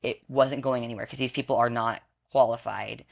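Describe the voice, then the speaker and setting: A woman's voice: rumbling low, steady tone, fairly quick, creaky, lo-fi sound. Woman in her twenties. Earnest, rambling guest who keeps restarting her sentences. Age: 20s. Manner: earnest, rambling, self-correcting. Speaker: podcast guest. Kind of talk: candid personal testimony.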